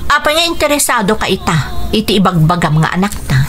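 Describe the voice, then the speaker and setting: A woman's voice: mid-range voice, sing-song, fast, fairly bright tone, faint music behind. A woman with an Ilocano accent playing a bitter, guarded single mother who fiercely protects her child, in radio drama style. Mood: bitter, defiant.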